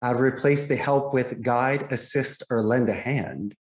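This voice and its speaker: A man's voice: mid-range voice, measured pace. A man giving polished, articulate explanations as a guest in an interview. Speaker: podcast guest, call center owner and trainer. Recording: roomy, echoing sound.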